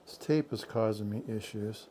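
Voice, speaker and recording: A man talking through a do-it-yourself boat project as he works, thinking aloud in halting, self-correcting bits. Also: on the low side, warm tone, a little hiss or hum. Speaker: boat owner doing a DIY project. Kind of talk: casual DIY narration while working.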